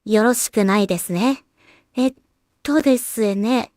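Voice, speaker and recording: A woman giving casual, musing commentary as she edits, half talking to herself in unhurried asides. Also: a little high, darkish tone, no echo. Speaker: solo creator and voice actor.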